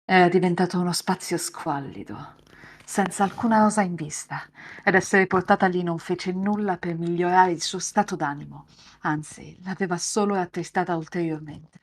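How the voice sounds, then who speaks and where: A woman's voice: lowish voice, normal speed. A woman hosting a live reading, moving between scripted narration and casual chat with co-readers. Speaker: host and narrator of a live visual novel reading.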